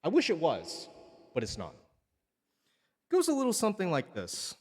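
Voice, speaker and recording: A man's voice: sits high, huge pitch swings, measured pace, breathy edge, no echo, studio quality. A man streaming casually, thanking viewers and joking around. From Hawaii. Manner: goofy, joking, slips into singing.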